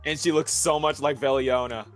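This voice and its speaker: A man's voice: a little high, steady tone, normal speed, soft background music. A man chatting casually as a guest about a video game, enthusiastic and slangy. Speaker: guest co-commentator.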